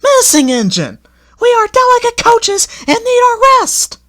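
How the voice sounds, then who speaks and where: A man's voice: extremely high pitch, huge pitch swings, a little slow, pushed and bright, voice pushed up, exaggerated voice. A man voicing a pair of lazy, fussy old railway coaches who whine and squeal. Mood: whining. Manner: whiny, squealing, complaining.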